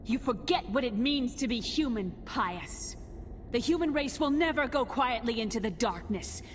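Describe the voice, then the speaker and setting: An actress playing a defiant, resolute heroine in a video game, speaking solemnly in a long reverb. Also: female voice, a little high, lively intonation, moderate pace, close to an everyday voice. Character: defiant, resolute human heroine facing an ancient evil. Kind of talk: video game cutscene acting. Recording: long cavernous reverb, faint noise.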